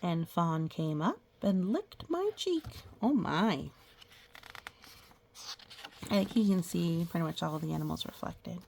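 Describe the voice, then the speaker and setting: A woman reading a picture book aloud to young children, gently pausing to explain things and ask questions. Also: lowish voice, expressive, gravelly, slight background noise. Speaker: children's story reader.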